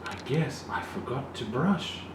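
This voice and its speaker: Man acting young storybook frog boy, raised pitch, whining, exclaiming, reluctant, noisy distant recording. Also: lively intonation, measured pace, natural voice. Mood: sheepish. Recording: very echoey, distant, noisy room.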